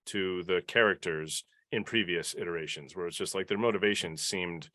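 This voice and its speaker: A man with a fairly low voice leads a casual podcast discussion. He states opinions emphatically, and the sound is dry with very little room to it. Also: normal speed, pushed and bright, no echo. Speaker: podcast panel host.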